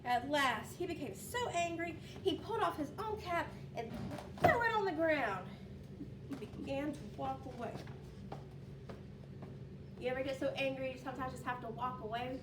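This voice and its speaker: A woman teacher reading a story aloud to young pupils, expressive and often addressing listeners with questions. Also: high-pitched, normal speed. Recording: roomy, distant home recording with noise.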